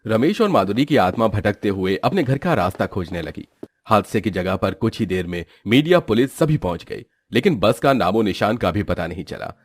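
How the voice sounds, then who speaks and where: A man's voice: fairly low pitch, sing-song, moderate pace, breathy edge, no room sound. A man narrating a scripted cartoon story in storybook fashion.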